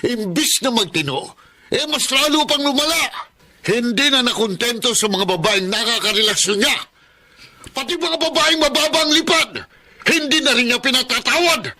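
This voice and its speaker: A stern older Filipino father in a radio drama. The voice is high and sharp-edged. He scolds emphatically, protective and fed up. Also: expressive, moderate pace, full character voice. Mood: exasperated scolding.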